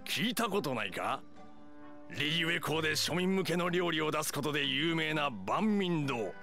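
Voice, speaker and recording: An actor playing a stern, direct hunter chief in an anime game, blunt and firm. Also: male voice, on the high side, lively intonation, normal speed. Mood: earnest persuasion. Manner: blunt, firm, matter-of-fact. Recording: studio voice over soft music.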